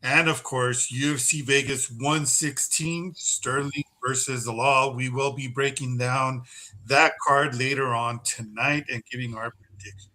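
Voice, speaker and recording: A man's voice: mid-range voice, steady tone, a little slow, pushed and bright, low-quality recording. A man co-hosting a fight podcast, running down the weekend's events in a casual, laid-back way, often saying bro. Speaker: podcast co-host.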